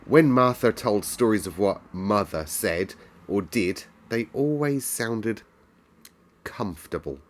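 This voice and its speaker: Male storybook narrator, lower pitch, measured and expressive read. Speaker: storyteller reading aloud.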